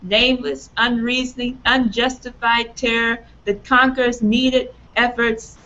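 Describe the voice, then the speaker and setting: Woman, 40s, raspy from allergies, emphatic opener, unhurried delivery, lo-fi webcam sound. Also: on the high side, fairly even intonation, bright, forceful tone, slight background noise. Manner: earnest, emphatic, laughs at own slips. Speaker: presenter introducing a talk. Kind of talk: political talk introduction, unscripted. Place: small office room, indoors. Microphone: webcam on a video call.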